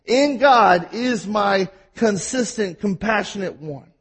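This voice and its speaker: A man with a Mississippi accent preaching a sermon, telling stories and at times talking fast. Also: high-pitched, animated, a bit bright, slightly rough. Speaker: pastor.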